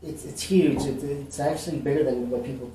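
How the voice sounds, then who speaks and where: Man from Mexico, mellow and loose in his answers, heard from a distance in an echoey room. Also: medium pitch, a little fast, slight background noise. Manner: relaxed, rambling with many fillers and restarts. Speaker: realtor. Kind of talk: casual interview answers. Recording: roomy, echoey, mic at a distance.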